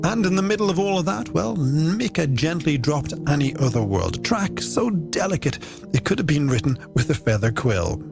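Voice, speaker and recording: A man's voice: on the high side, sing-song, normal speed, vocal fry. A man presenting a late-night music radio show in playful, theatrical, florid patter from a studio. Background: music bed under the voice.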